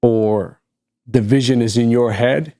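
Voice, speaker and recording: A man's voice: mid-range voice, fairly even intonation, unhurried, mellow. A man from Egypt whose first language is Arabic, co-hosting a podcast in a reflective casual chat and repeating points for emphasis. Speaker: podcast co-host.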